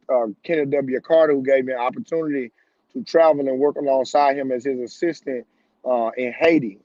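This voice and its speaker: A man in his thirties speaks as a young pastor sharing his testimony. His delivery is earnest and halting, at a medium pitch. The audio is dry and somewhat dull, like a video call. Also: a little mellow, no room sound. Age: 30s. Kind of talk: personal testimony in an online panel conversation.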